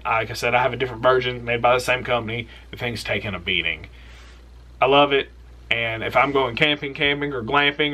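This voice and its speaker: A young man hosting an outdoors channel, recommending camping gear in a relaxed, rambling, enthusiastic way from a small room. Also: mid-range voice. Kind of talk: casual product recommendation vlog.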